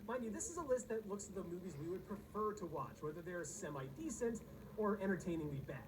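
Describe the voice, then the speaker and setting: A woman's voice: lowish voice, expressive, fast talker, background noise. A woman reading scripted comedic countdown narration in a sarcastic, snarky manner.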